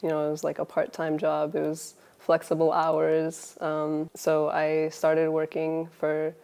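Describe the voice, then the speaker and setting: A woman's voice: deep voice, monotone, unhurried, vocal fry, a little mellow, clear, resonant tone. A woman in her twenties, born in Finland, telling her love story in a casual interview, laughing often and restarting her sentences. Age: 20s.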